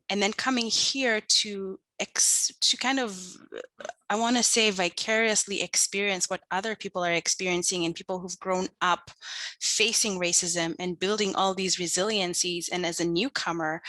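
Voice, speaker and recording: A woman from Kenya speaking as a panelist in an online discussion, explaining her points in a measured, articulate way. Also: mid-range voice, bright, forceful tone, no echo, low-quality recording. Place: online video call.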